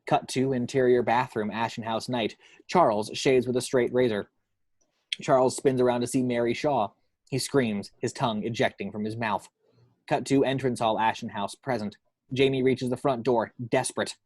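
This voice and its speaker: Guy reading screenplay action lines, mid pitched voice, really dry sound with no room echo. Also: fairly even intonation, moderate pace, a little mellow. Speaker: reader of action descriptions. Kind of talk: scripted screenplay narration. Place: at home.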